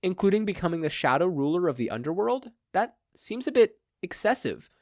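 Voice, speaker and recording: A man's voice: high-pitched, big pitch swings, brisk, no room sound, pitched above the performer's own voice. A worried, timid mother as a male reader puts her on. The voice is lifted well above his own, hesitant and nervous, yet politely candid. It is a dry storybook-style recording. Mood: uneasy.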